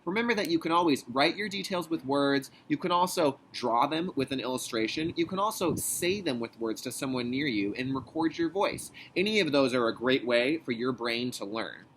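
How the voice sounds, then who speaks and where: A man who works as a kindergarten teacher, leading a read-aloud lesson with deliberate enunciation and an instructional manner for young children. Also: mid-range voice, lively intonation, fairly bright tone, slight background noise, low-quality recording.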